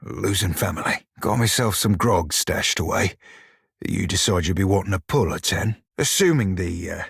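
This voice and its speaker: An actor playing a roguish, bawdy, furry blue pirate in a video game, drawling salty sailor slang with a teasing, wry manner and a rough-edged voice. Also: male voice, sits low, animated, normal speed, a bit bright, no room sound, clean studio sound, full character voice. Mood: gruff, wry. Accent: stylised pirate dialect. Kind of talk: video game character dialogue.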